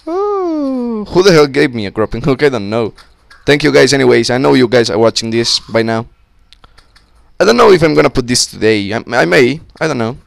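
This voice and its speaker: A young man with a slightly sick voice, giving casual, excitable commentary on a co-op game with friends on a close mic. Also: a little high, gravelly, dry recording. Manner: excitable, swearing, joking with friends. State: sick, voice a little off. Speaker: gameplay commentator. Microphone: close-up desk mic.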